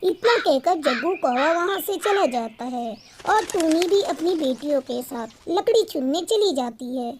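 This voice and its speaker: A woman's voice: sits high, lively intonation, unhurried, few pauses, slight background noise. A woman narrating a scripted children's story as a storyteller.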